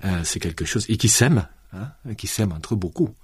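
Low husky man with southern French accent. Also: animated, fairly quick, no echo, studio quality. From southern France. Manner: reflective, many hein and absolument. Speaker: guest, student centre director. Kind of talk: radio interview.

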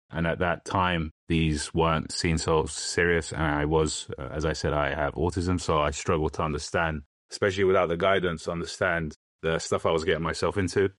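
Young guy, super deep low voice, dry close sound, just talking it through plainly on a podcast. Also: a bit bright, no room sound. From London, England. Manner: plain, matter-of-fact explaining with loose restarts. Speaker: guest. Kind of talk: podcast interview answers.

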